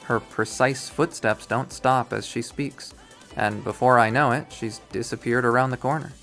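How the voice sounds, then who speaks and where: A person reading visual novel narration aloud in an expressive storytelling manner. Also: medium pitch, moderate pace, soft background music. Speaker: video game reader.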